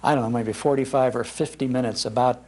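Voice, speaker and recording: An older American man giving an informal, anecdotal public talk in an animated, conversational manner. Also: medium pitch, quick pace. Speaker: speaker on old-time radio history, broadcaster. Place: public library talk before an audience.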